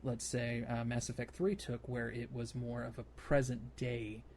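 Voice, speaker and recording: A man hosting a casual gaming podcast, talking in a halting way as he thinks aloud. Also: medium pitch, monotone, darkish tone, a little hiss or hum. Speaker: podcast host.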